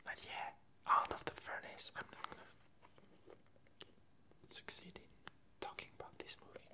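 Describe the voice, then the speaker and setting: A woman whispering a casual ASMR chat in a breathy, halting way with long pauses. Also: bright, forceful tone. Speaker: ASMR video creator.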